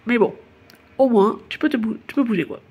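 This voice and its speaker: A woman's voice: fairly high pitch, a little fast, a little mellow, slightly rough. A woman from Paris giving a casual spoken tour, chatty and informal, laughing at her own slips. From Paris, France. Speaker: narrator of a guided city tour. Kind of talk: casual spoken tour narration addressed to the listener.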